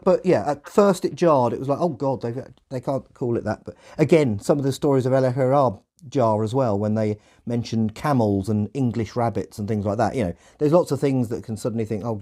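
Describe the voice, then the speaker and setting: A man's voice: medium pitch, brisk, mellow. A man hosting a podcast in casual discussion, reflective and often correcting himself as he goes. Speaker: podcast host.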